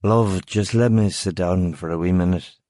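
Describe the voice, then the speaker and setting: A man reading a story, voicing a drunk middle-aged former sailor with a Northern Irish accent and a slow drawl. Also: low voice, dark tone, natural voice. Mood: drunken drawl.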